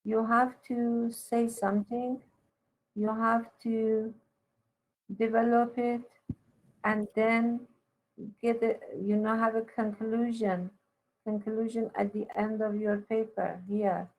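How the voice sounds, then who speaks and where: A woman teacher giving halting, corrective and instructive one-to-one feedback on a student's writing. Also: a little high, level tone, darkish tone, rough recording.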